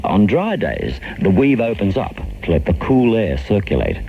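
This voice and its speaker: A man's voice: sits low, huge pitch swings, moderate pace, gravelly, faint music behind. A man reading a scripted radio commercial as an announcer. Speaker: advertisement announcer. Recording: muffled, faintly noisy 1970s radio aircheck.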